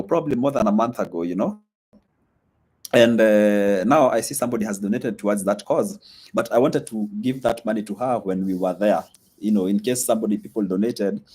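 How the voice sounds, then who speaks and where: A young man talks casually into a live stream. His voice sits on the low side, and his speech is loose, with plenty of fillers. His is Swahili. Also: darkish tone, husky. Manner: loose and chatty, many fillers, reads comments aloud. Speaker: vlogger hosting a live stream. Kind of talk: casual live-stream chat reading comments.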